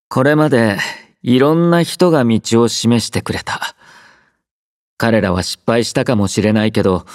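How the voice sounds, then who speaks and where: A man voicing an aloof, laid-back young soldier in an anime-style game, quietly caring, with dry, understated teasing. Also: medium pitch, dry recording. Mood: soft, reflective. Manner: languid, dryly teasing, understated. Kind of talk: Japanese anime-style game character voice lines.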